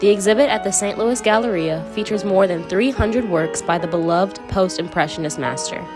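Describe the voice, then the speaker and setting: A woman reads a brisk, scripted news voice-over at a middle pitch. Guitar music plays clearly under her voice. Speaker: news reporter.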